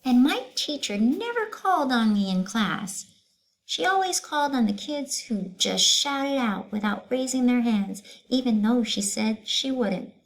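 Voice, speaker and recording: Woman putting on a fed-up schoolteacher, pitched up. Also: animated, a little slow, poor audio. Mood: hurt, aggrieved. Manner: emphatic, complaining. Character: fed-up, long-suffering woman schoolteacher, aggrieved.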